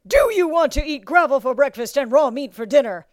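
A woman's voice: fairly high pitch, slow pace, a little mellow, clear, resonant tone, dry recording, clean studio sound, pitched above the performer's own voice. A woman voicing a scornful, commanding male drill instructor in an audiobook, barking and taunting. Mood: bellowing contempt. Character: bellowing small thirty-year-old male drill instructor, scornful and commanding. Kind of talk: audiobook character acting.

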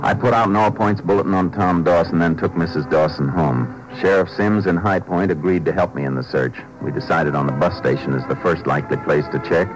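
An actor playing a calm, courteous lawman with a measured, matter-of-fact manner in a 1950s radio drama. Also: deep voice, music in the background, natural voice, low-quality recording. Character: calm, courteous, steadfast Texas Ranger lawman. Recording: old 1950s radio recording.

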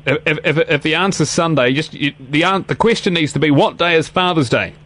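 A man's voice: a little high, fairly quick, fairly bright tone. A man with a New Zealand accent chatting casually on the radio, patient, often restarting his phrases. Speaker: radio presenter, now interview guest.

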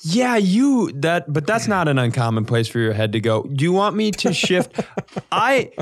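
A man co-hosting a comedy podcast, telling animated, casual stories and acting out the dialogue. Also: fairly high pitch, normal speed.

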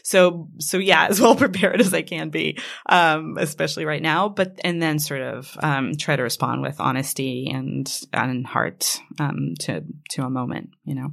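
A woman whose first language is Hebrew speaks in a low, gravelly voice. She talks in a thoughtful, hesitant way, full of fillers and small laughs. She is jet lagged. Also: normal speed, few pauses, fairly bright tone. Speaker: author guest. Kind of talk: casual interview conversation.

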